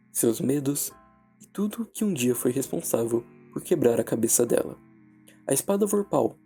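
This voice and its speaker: A Brazilian man narrating a scripted horror analysis in a measured, dramatic way with deliberate pauses. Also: medium pitch, vocal fry, mellow. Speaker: narrator and video essayist. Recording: background music under the voice. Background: music.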